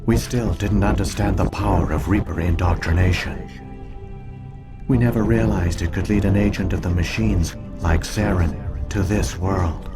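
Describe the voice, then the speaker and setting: Ancient alien computer mind for a sci-fi game male actor: very deep, solemn, formal, coldly logical, over prominent music. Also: steady tone, lightly acted. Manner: measured, formal, deliberate. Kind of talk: scripted video game voice acting, exposition.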